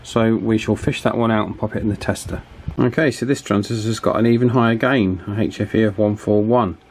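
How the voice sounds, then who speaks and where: Low-voiced man over clear background noise. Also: steady tone, a little fast, darkish tone. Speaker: electronics repair hobbyist presenter. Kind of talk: casual hands-on repair demonstration. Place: small indoor office workshop. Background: table fan wind noise.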